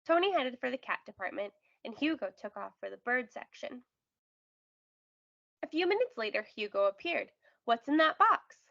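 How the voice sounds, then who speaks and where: High-pitched woman reading a children's story. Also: very animated, moderate pace, gravelly, fairly bright tone, no room sound, lo-fi sound. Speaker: storybook reader. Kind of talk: children's read-aloud narration.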